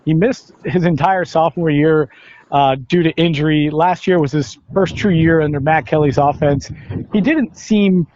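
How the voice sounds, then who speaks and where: A muffled, lo-fi recording of a man with a somewhat high-pitched voice. He talks conversationally as a sports announcer being interviewed, pausing and repeating words as he goes. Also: darkish tone, no echo. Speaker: radio play-by-play announcer, interview guest. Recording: dull, lo-fi, band-limited sound.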